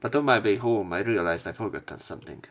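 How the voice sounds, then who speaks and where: A man with a fairly low voice plays through a visual novel. He reads the story text aloud and mixes in casual commentary of his own. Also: lively intonation, fast. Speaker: let's player.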